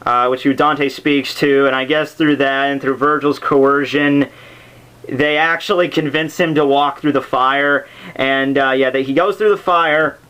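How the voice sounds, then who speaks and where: A man's voice: mid-range voice, fairly even intonation, a little slow, bright, forceful tone, clean tone, low-quality recording. A man giving a casual, unscripted explanation as host, rambling and dropping jokey asides. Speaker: host of a literature summary series. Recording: music playing under the voice. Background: background soundtrack music.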